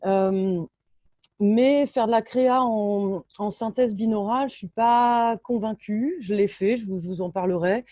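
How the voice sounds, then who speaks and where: A French woman in her thirties giving an informal technical talk in an online meeting, often halting and correcting herself. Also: mid-range voice, warm tone, dry recording. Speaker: sound engineer and lecturer. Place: indoors on a video call.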